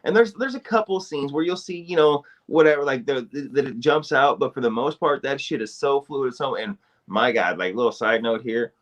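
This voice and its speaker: A man's voice: mid-range voice, moderate pace, hardly any pauses, fairly bright tone. A man co-hosting a podcast, talking in a rambling, emphatic way with lots of swearing. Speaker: podcast co-host. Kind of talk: casual podcast chat.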